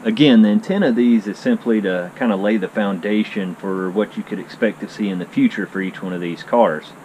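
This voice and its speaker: A man talks casually and eagerly, voice sitting a little low. He walks around outdoors on a handheld action camera, with a faint wash of outdoor noise behind him. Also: brisk, dark tone, rough recording. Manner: enthusiastic, chatty, says "I mean" often. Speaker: car channel host. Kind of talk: casual walkaround vlog. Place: outdoor driveway beside a garage. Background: faint outdoor ambience.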